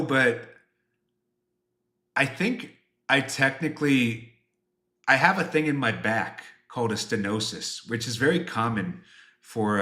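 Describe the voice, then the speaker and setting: A man's voice: medium pitch, bright, forceful tone, echoey room. A man in his twenties chatting casually on a livestream as a game developer, answering viewers in a rambling, self-deprecating way. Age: 20s.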